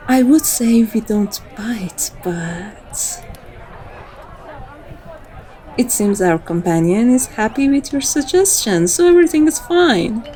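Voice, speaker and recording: Casting: female actor for seductive vampire woman, teasing and intimate, unhurried, soft dark timbre, ASMR roleplay. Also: fairly high pitch, animated, rough recording. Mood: flirtatious. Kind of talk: scripted ASMR roleplay acting.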